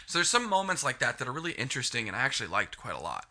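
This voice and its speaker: A man with a head cold talks through a video game in a loose, frustrated rant. His voice is piercingly bright and tense, set at an ordinary pitch for a man. He swears freely and circles back on himself as he complains. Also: very animated, rapid-fire. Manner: frustrated, rambling, heavy swearing. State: sniffly from a cold. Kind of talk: casual ranting game review.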